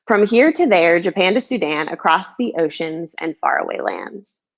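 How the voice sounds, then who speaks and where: A woman children's author with a fairly low voice. There is noticeable creak in it, and the recording sounds a little dull and lo-fi. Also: animated, normal speed. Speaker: children's book author reading own book. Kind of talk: storybook read-aloud, rhyming, then casual chat.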